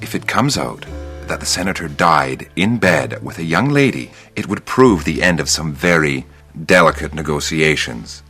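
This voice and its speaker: Very deep, forceful, imperious attorney. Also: male voice, expressive, moderate pace, faint music behind, exaggerated voice. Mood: condescending. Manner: formal, clipped, condescending. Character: imperious male political aide and attorney, coolly pressuring. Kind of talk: radio crime drama dialogue.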